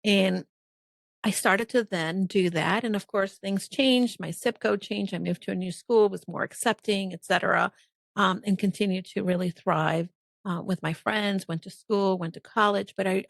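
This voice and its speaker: Female podcast guest from El Salvador, mid-pitched voice, expressive personal storyteller who seeks agreement, dry close recording. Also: moderate pace, vocal fry, dry recording. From El Salvador until age ten, then Miami. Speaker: podcast guest, business coach.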